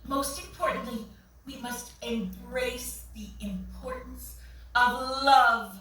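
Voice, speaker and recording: Casting: actress as prim religious zealot, earnest, preachy, bright high voice, stage play. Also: female voice, takes it slowly. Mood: earnest, zealous. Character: pious, zealous churchgoing woman, primly fanatical about purity. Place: small theatre, staged reading. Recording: echoey theatre, far mic, faint noise.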